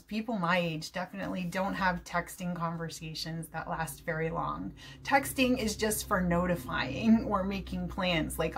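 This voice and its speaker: A woman's voice: on the low side, slight background noise. Middle-aged american lady talking to her webcam at home, cheerful and chatty, teacher vibe. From New Jersey, USA. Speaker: English teacher. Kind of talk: talking to camera. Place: home room, indoors.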